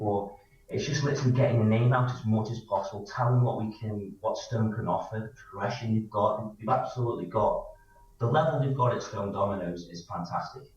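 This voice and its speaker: A man answers casually as a team manager, pressing his points by repeating them. His fairly low voice is heard in a very echoey space with a slightly dull sound and faint noise. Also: distant mic. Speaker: football team manager, interview guest. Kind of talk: casual radio interview answers.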